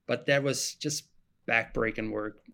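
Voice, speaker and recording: A man's voice: medium pitch, a little slow, vocal fry, a little hiss or hum. Man telling his story. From Germany. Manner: relaxed storyteller, restarts and self-corrects. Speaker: guest. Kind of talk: casual podcast interview.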